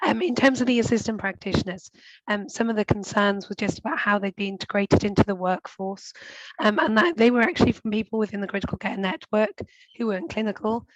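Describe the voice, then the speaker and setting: A woman with a croaky voice joining a meeting by video call, apologetic and hesitant with many "um"s. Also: mid-range voice, fairly quick, no room sound. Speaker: staff member joining remotely to answer. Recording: dry, dull lo-fi call audio.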